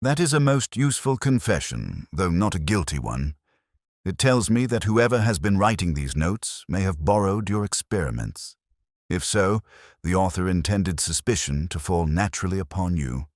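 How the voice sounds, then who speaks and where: A male narrator plays a calm police inspector in a storybook mystery. The voice is very deep and dry, with animated cadence. He speaks gently and deliberately, kindly but shrewd. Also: no echo. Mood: gentle reassurance. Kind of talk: audiobook character dialogue.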